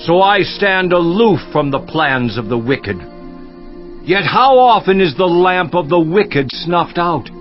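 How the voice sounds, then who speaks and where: A man playing an anguished, bitter, defiant sufferer of ancient scripture in an impassioned, declamatory dramatized reading. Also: fairly high pitch. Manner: impassioned, declamatory, rhetorical questioning. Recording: dull, muffled audio with music behind.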